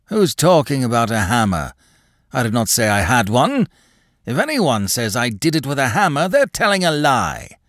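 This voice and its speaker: A man voicing a wild, agitated old gentleman with a feeble mind and a sharp, strained, unnatural voice in a story. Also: sits high, measured pace, no echo, studio quality, pitched above the performer's own voice, full character voice. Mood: cunning, defensive. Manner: excitable, abrupt, rambling.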